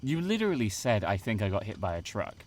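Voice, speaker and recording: A man's voice: on the low side, big pitch swings, fast talker, darkish tone. An actor playing a grumpy, reluctant 21-year-old male student in an audio drama, dry and exasperated.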